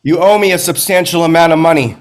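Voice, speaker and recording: A man's voice: a little high, fast, close to an everyday voice. A man playing an unhinged, manipulative film director in a screenplay table read, with a stammering, theatrical, erratic delivery. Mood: menacing, coaxing.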